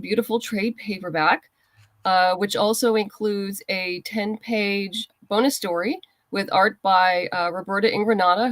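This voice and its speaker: Woman guest, close dry sound, mid-pitched. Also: no echo. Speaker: interviewed writer and creator. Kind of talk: conversational interview answers.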